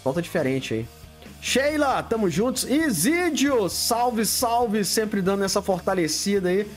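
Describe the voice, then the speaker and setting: A man's voice: sits high, animated, measured pace, faint music behind. A man in his fifties with a Brazilian Portuguese accent, hosting a casual live stream from a home studio, answering viewer questions bluntly and greeting people by name. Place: home studio room lit with colored LEDs.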